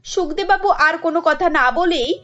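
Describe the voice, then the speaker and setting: A woman with a high voice tells a cartoon family story in lively narration. The recording is dull and lo-fi, with soft music underneath. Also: moderate pace. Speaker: narrator and voice actor.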